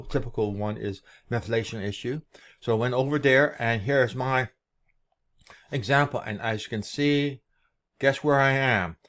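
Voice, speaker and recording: A man with a medium-pitched voice presents an informal explanation, as if walking someone through what is on a screen. He speaks in short phrases with a halting, unscripted delivery. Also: a bit bright.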